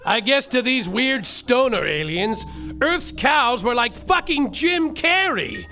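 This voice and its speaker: An actor playing a brash, foul-mouthed detective who narrates a comic space adventure theatrically in a live podcast show. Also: male voice, extremely high pitch, sing-song, slow pace, sharp tone, full character voice. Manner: theatrical, exclamatory. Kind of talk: comedic live storytelling, mock-detective narration. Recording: live theater recording with faint music.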